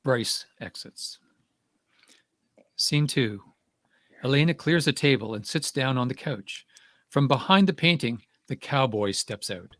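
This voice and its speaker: A man narrates stage directions for a dramatic reading. His pitch is mid-range and the sound is very dry, with no room on it. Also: a bit bright, no room sound.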